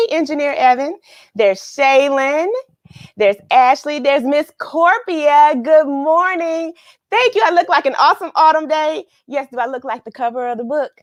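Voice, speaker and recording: A woman's voice: on the high side, no echo, studio quality. A woman hosting a children's read-along in a casual, effusive way, with sing-song phrasing and repeated words. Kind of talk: casual livestream greetings and picture-book read-aloud.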